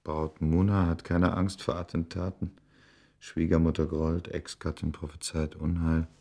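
Serious learned doctor in a radio play, low rough-edged voice, courteous. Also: male voice, little pitch movement, a little slow, warm tone, full character voice. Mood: reading aloud. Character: shaken British scholar, a serious, courteous male doctor. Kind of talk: German radio drama acting.

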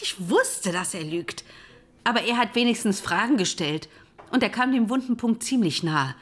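An actress playing a proud, defensive widow under suspicion in a radio drama, controlled and indignant. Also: female voice, medium pitch, lively intonation, moderate pace, a bit bright. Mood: defensive, cold.

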